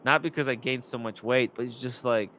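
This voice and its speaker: A young man hosting a live stream, rambling and animated, reading chat comments aloud. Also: medium pitch, brisk.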